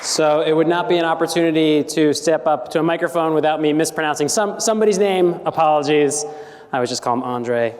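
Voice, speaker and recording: A man's voice: on the high side, fairly quick, darkish tone, a faint crowd, a little hiss or hum. A man moderating a conference panel, casual and self-deprecating as he introduces speakers. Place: conference hall. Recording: long hall reverb.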